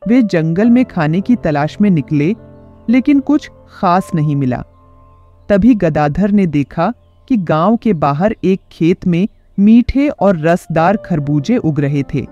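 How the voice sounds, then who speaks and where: A narrator telling a children's moral story in a lively storytelling way. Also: medium pitch, slow, no echo, lo-fi sound, faint music behind.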